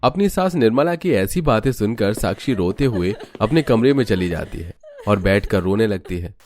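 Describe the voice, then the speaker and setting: A man's voice: fairly low pitch, expressive, normal speed, darkish tone. A man narrating a scripted cartoon story.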